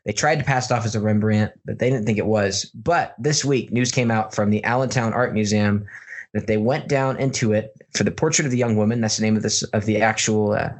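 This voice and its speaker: A man with an American accent hosting a casual, jokey podcast, telling news stories in an informal, animated way. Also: fairly low pitch, fairly quick. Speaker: podcast host. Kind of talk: casual comedic news banter.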